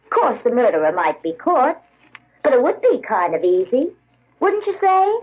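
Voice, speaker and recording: A woman's voice: fairly high pitch, huge pitch swings, moderate pace, slightly rough, heavily acted. An actress playing a chatty woman with a lightly macabre air, in 1940s radio drama style. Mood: brightly macabre. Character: chatty woman musing lightly about murder. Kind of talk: 1940s radio horror acting. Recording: muffled old radio recording.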